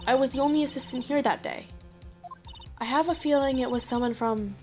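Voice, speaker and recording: A woman's voice: high-pitched, brisk, darkish tone, close to an everyday voice. A woman voicing an earnest, helpful young female studio assistant in a game fan dub, polite and a little hesitant, with music behind. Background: background music.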